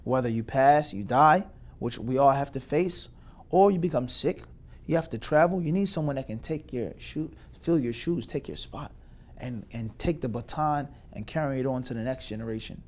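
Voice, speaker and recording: A man's voice: mid-range voice, normal speed, darkish tone. A man from the United States, a mufti, giving graduates an emphatic spoken lecture with long rhetorical lists and Arabic religious terms.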